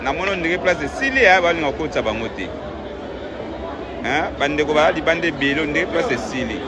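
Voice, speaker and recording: A man's voice: a little high, lively intonation, brisk. A man reporting from outside a crowded concert venue, urgently describing the scene. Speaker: on-site reporter. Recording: noisy crowd around the mic.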